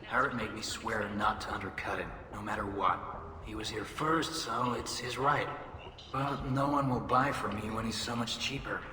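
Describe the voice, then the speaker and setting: A man's voice: fairly low pitch, normal speed, bright, forceful tone. A man playing an earnest, downcast young alien pilgrim in a video game, speaking politely and with resignation. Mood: downcast, resigned. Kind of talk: video game dialogue acting. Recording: echoey hall with noisy ambience.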